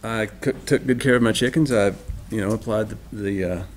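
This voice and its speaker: A man on a board making formal, deliberate remarks at a public meeting. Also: fairly low pitch, faint music behind. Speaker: board member. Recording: noisy meeting room recording.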